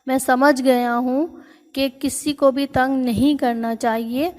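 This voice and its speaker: A woman voicing a big, boastful male elephant who cries loudly and begs. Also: high voice, steady tone, long reverb tail, lightly acted. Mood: remorseful. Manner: loud, pleading cries.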